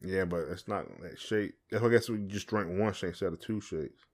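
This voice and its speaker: A man's voice: low-pitched, a little fast. A man chatting casually and colloquially as a podcast co-host. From southern United States. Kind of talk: casual chat with spouse.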